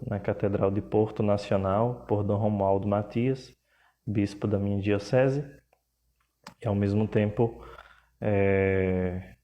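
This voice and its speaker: Slow, soft-toned Pernambuco-accented priest. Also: male voice, fairly low pitch. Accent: Pernambuco Portuguese. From Pernambuco, Brazil. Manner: slow, measured, with frequent hesitations. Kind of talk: livestream talk.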